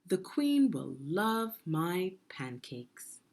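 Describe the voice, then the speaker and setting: A woman's voice: on the low side, big pitch swings, extremely slow, exaggerated voice. A woman reading the part of a boastful, confident chef in a children's storybook, with emphatic delivery. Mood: proud, boastful.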